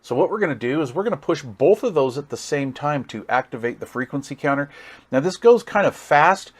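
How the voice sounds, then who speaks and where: A man's voice: fairly high pitch, expressive, fairly quick, breathy edge. A man giving a casual hands-on product demonstration, thinking aloud with some hesitation as he goes. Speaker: radio review host.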